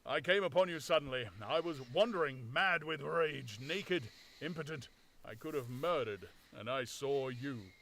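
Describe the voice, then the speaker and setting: A man voicing an invisible man who speaks slowly and deliberately, pressing each point. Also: fairly high pitch, a bit bright, slight background noise. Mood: insistent. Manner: slow, deliberate, emphatic. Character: calm, insistent invisible man, measured.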